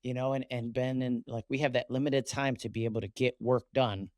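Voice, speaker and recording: A male podcast co-host and parent, relaxed and conversational as he frames questions for a guest. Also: mid-range voice, brisk, no room sound, studio quality. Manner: relaxed, speaks from experience as a parent. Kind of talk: conversational interview.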